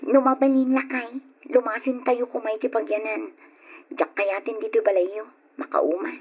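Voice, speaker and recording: A woman's voice: on the high side, flat delivery, normal speed, close to an everyday voice. A woman acting a discontented, nagging young wife and mother in an Ilocano radio drama, with an Ilocano accent. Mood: nagging, fed up. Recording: dull, lo-fi old radio recording.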